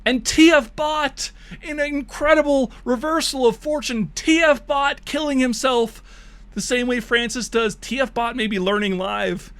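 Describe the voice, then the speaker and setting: Man, high voice, mock esports commentary, hyped play-by-play, crude jokes, voice wearing thin. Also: unhurried, a bit bright, clear, resonant tone. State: losing his voice. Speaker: streamer casting bot matches.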